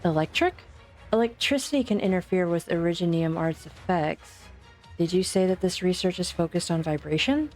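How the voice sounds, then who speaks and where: A woman voicing a principled, resolute physician in an amateur fan dub, speaking in an earnest, deliberate way. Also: lowish voice, lively intonation, darkish tone, faint music behind, lightly acted. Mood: alert. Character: principled, observant female physician, calm and resolute.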